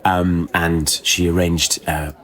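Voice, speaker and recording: A man with a distinctly low voice speaks as a podcast guest. He tells anecdotes conversationally, in a hesitant, halting way. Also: level tone, fairly bright tone. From born in Kuwait, moved around the world.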